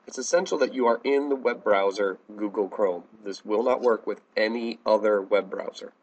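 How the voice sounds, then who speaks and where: An American man teaching a software tutorial in a plain, step-by-step instructional manner. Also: lowish voice, normal speed, slightly rough, muffled recording. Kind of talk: instructional screencast narration.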